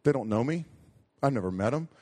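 Animated man telling a story. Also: mid-range voice, fairly quick. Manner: animated, jokey, self-interrupting storyteller. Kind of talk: storytelling sermon with humorous asides.

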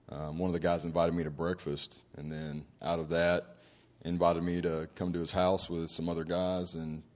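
A man with a very low voice speaks reflectively, giving his testimony. He chooses his words slowly and leans on fillers. He talks from a conference stage. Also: a little mellow. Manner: measured, reflective, hesitant fillers. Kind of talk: personal testimony talk.

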